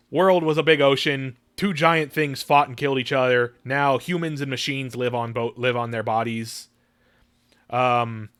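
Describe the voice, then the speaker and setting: A man's voice: mid-range voice, a bit bright. A man giving casual, rambling live-stream commentary, often circling back to rephrase, in informal and occasionally profane language. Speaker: streamer hosting a game stream. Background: game music.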